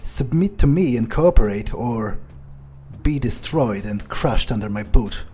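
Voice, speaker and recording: Man playing a slow, mellow, ruthless vampire musing aloud. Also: medium pitch, expressive, faint music behind, close to an everyday voice. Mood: menacing. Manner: musing, halting, profane, thinking aloud. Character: ruthless, foul-mouthed male vampire, calculating, menacing, musing aloud. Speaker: roleplaying game player. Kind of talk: in-character improvised game playthrough monologue.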